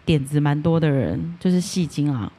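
A woman's voice: deep voice, lively intonation, normal speed, mellow. A woman chatting casually as a guest on a podcast. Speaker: guest podcaster, ASMR creator. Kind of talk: casual interview chat.

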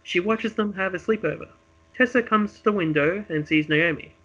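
A man's voice: sits high, normal speed, bright, forceful tone, lo-fi sound. A man reading a script aloud as narrator of a homemade radio play, with dramatic storytelling delivery. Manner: dramatic present-tense storytelling.

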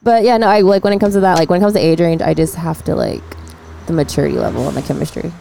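A woman's voice: low voice, quick pace, vocal fry, warm tone, slight background noise. A woman in her twenties hosting a podcast, chatting casually and swearing freely as she retells stories. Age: 20s. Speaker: podcast host. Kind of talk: casual chat.

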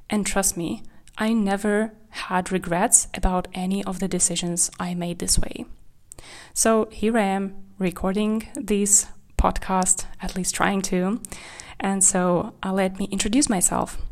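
Woman podcast host, from Kazakhstan, candid and reflective, medium pitch. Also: steady tone, measured pace, darkish tone. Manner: candid, reflective, with small slips of grammar. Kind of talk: solo podcast monologue.

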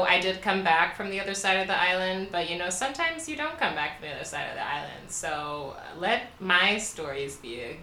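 A woman's voice: medium pitch, pushed and bright, far from the mic, a little hiss or hum. A young woman from Baltimore telling a candid story in casual chat, laughing often and filling her talk with "like". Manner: candid, laughing, many "like" fillers. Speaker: podcast co-host. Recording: echoey room, off-mic.

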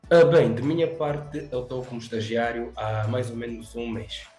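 A man's voice: mid-range voice, normal speed. A young man with an Angolan Portuguese accent, an intern talking casually and hesitantly about his job in an interview. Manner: relaxed, hesitant with fillers. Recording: echoey room, faint music.

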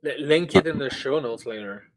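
A man's voice: mid-range voice, normal speed, a crowd in the background, low-quality recording. A man in casual, profane podcast chat about video games, often stammering and repeating his words as he talks. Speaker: podcast co-host.

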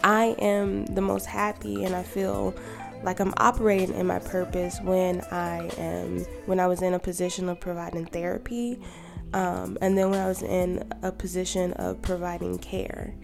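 A woman in her thirties, a podcast co-host, talking calmly and reflectively in a quiet, soft voice. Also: lowish voice, moderate pace, gravelly. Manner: calm and gentle. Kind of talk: reflective personal monologue. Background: music.

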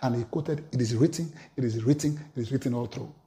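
A man's voice: mid-range voice, fast, warm tone. A man preaching a sermon, reading scripture aloud with emphatic, rising delivery.

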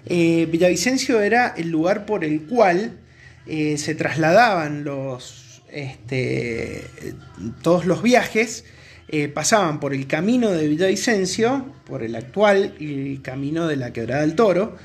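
A man with an Argentine accent from Mendoza, co-hosting a casual podcast in a halting, hesitant way. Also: a little high, expressive. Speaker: podcast co-host.

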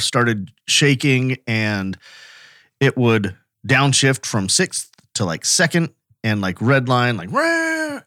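An American man speaks as a podcast co-host, with a medium pitch. He tells stories in a casual, conversational way, and the sound is dry with hardly any echo. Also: a bit bright. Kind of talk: casual anecdotal chat.

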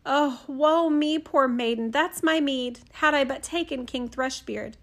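A woman voicing a proud young princess who laments in a high, drawn-out voice. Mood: lamenting.

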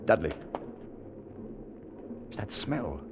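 Dramatic, haunted adventurer, widely swinging pitch. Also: male voice, big pitch swings, creaky, noisy background, exaggerated voice. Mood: nervous, hushed. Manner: dramatic, hesitant and halting in dialogue. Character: tense, haunted male adventurer recounting his terrifying expedition. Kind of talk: 1940s-50s radio drama narration and acting. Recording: dull old radio recording.

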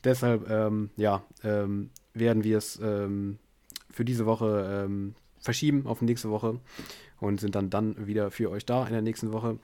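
Tired, rambling man with a low voice. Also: level tone, unhurried, warm tone. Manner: rambling, many fillers, tired and low-key. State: unwell, headache. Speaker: podcast host. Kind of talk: casual chat. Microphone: remote call via bot.

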